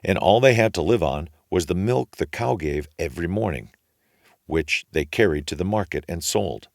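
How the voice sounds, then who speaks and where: A man narrating a fairy tale for children in an expressive, measured storytelling manner. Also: low-pitched, gravelly, no room sound, studio quality.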